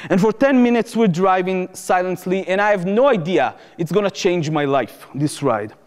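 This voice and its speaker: In a reverberant hall, a man with an Israeli accent delivers a lecture in a high-pitched voice. He tells it as a personal story, leaning on key words and repeating phrases. Pauses are placed for dramatic effect. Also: lively intonation, a little mellow. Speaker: activist lecturer and former soldier. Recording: echoey hall with long reverb.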